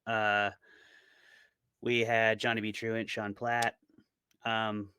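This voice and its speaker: A man's voice: fairly low pitch, level tone, takes it slowly, sharp tone, slight background noise. A man being interviewed as a podcast co-host, explaining things in a casual, informal way.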